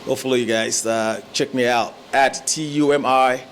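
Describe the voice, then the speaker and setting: A man from Nigeria talks easily in a casual interview as a guest musician. His voice sits at an ordinary middle pitch. He chuckles often and strings his thoughts together with fillers and restarts. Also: steady tone, normal speed, a bit bright, slight background noise.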